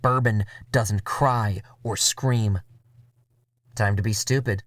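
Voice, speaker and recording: A man narrating a first-person noir audio drama in a wry, cynical voice with drawn-out phrasing. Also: fairly low pitch, fairly even intonation, dry recording. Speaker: narrator and writer. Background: music.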